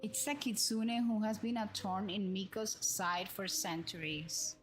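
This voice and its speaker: A woman's voice: mid-range voice, steady tone, unhurried. A woman streaming a video game and chatting casually as she plays. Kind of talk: casual livestream chat while playing. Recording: music under the voice.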